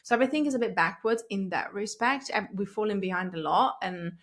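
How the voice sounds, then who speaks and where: A woman's voice: mid-range voice, animated, fairly quick. A chatty woman in her thirties from Italy, a podcast guest who laughs easily and wanders off on tangents. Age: 30s. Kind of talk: casual interview chat.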